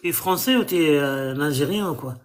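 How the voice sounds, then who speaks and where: A man's voice: fairly high pitch, lively intonation, fast talker. A man from Algeria hosting a live stream, quizzing callers with pointed, mocking questions.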